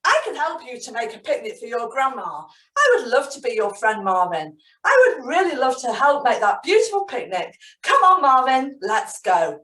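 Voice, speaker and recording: A woman voicing a cheerful little magic sunflower girl, bright and excited. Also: high-pitched, moderate pace, recorded from a distance. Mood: friendly. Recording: echoey room, voice a bit off-mic.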